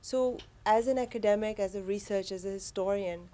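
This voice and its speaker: A woman from Pakistan asking a question at a university talk, halting and full of fillers. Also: medium pitch, mellow. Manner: hesitant, halting, many fillers. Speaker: audience member asking a question.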